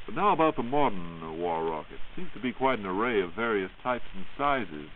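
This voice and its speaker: American male radio host, lowish voice, scripted 1940s delivery, tinny narrow recording. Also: very animated, brisk, slight background noise.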